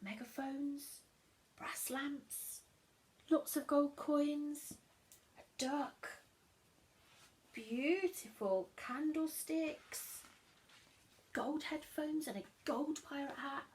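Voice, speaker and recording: A woman reading a storybook aloud to children as a teacher would, animated and emphatic, talking directly to young listeners. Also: sits high, extremely slow, long pauses, poor audio.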